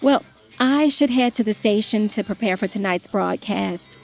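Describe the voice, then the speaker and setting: An actress playing an ambitious, chummy television news anchor in an audio drama. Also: female voice, medium pitch, moderate pace, gravelly, soft background music, natural voice. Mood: determined.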